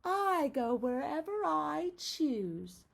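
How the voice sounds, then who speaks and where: A woman's voice: high voice, very animated, extremely slow, creaky, pitched above the performer's own voice, exaggerated voice. A woman voicing a carefree, independent male butterfly with a breezy, self-assured manner. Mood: defiant, breezy.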